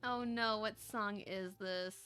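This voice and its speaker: A woman livestreamer chatting and reading aloud casually, expressive and exclamatory, often interrupting herself. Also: mid-range voice, slow pace, faint music behind. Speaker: streamer reading fanfiction aloud.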